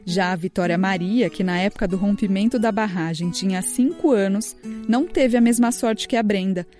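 A woman hosts a children's radio program and reads a scripted report. Her voice is mid-pitched, with a mellow, warm tone. Faint music plays underneath. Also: normal speed. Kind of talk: scripted narration.

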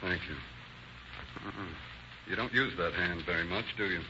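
A man playing a shrewd, methodical police inspector in a radio crime drama, questioning in a deliberate, probing way. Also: sits low. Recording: dull, noisy old radio recording.

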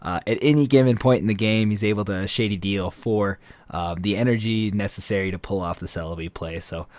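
Male podcast host, low voice, casual and chatty, dry close recording. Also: a little fast, no room sound. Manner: relaxed, chatty, frequent fillers and restarts. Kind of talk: casual card game podcast talk.

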